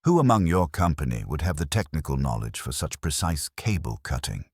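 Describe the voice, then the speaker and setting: A man's voice: rumbling low, very animated, a little fast, breathy edge, no room sound, clean studio sound, exaggerated voice. A man voicing a courteous, methodical police inspector in a mystery story, considerate and casually probing. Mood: polite probing.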